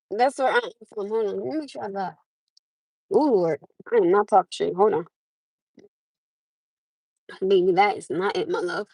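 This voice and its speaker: A woman's voice: mid-range voice, lively intonation, measured pace, long pauses, darkish tone, dry recording, low-quality recording. A woman from the United States chatting live with her viewers from her parked car on a phone camera, laughing often and calling them "my love.". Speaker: livestream host. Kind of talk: casual live chat with viewers.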